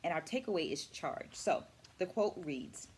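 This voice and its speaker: A middle-aged woman speaking as an educator in a reflective vlog, with deliberate, articulate phrasing and emphatic stresses. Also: low-pitched, expressive, a little mellow, slight background noise. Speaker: vlogger and dance educator. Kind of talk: reflective book-review vlog.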